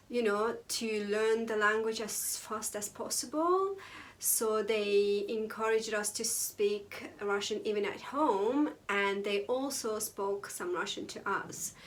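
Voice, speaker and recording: A young woman from Azerbaijan, telling her own story to camera in a casual, playful vlog, sometimes breaking into high exclamations or mock whispers. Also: a bit bright. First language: Azeri. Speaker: vlogger.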